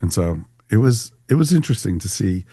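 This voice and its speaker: Guy hosting a casual review podcast, really deep voice, close dry sound. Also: sing-song, a little fast, mellow, breathy edge, dry recording. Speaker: podcast host. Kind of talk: casual panel review chat.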